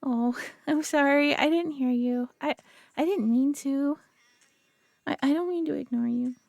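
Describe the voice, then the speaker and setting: A young woman playing a sweet, nervous girlfriend who talks softly to the listener, giggling and stammering often. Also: sits high, measured pace, creaky, no room sound. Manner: giggly, stammering, soft-spoken. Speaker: audio roleplay performer. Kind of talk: girlfriend roleplay addressing the listener.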